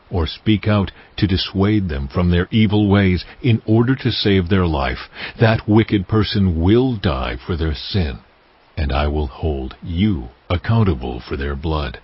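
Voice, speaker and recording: An actor playing God as a stern, commanding deity, speaking with solemn weight and deliberation in a dramatized scripture recording. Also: male voice, low-pitched, breathy edge, lo-fi sound, heavily acted. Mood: grave, warning.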